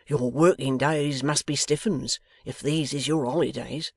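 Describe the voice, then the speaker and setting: A man's voice: medium pitch, fairly even intonation, measured pace, no room sound, studio quality. A man voicing a coarse, sly lock-keeper from a novel, growling with an insolent manner. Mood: sly, deliberate. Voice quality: growling, gruff. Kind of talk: dramatised novel reading.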